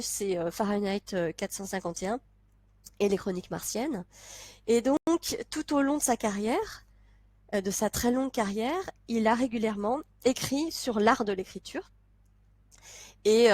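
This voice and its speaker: A woman's voice: medium pitch, darkish tone, no echo. A young woman chats casually to her camera, her nose blocked by a cold. She laughs easily and trips over her sentences. The sound is dry and close, with no room around it. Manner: chatty, laughs often, many restarts. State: congested from a cold. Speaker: vlogger.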